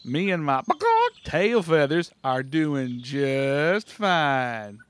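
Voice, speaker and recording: A man voicing a naive, slow-witted, gentle male turkey in an animal story, simple and earnest. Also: mid-range voice, expressive, slight background noise, pitched above the performer's own voice. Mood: pleased.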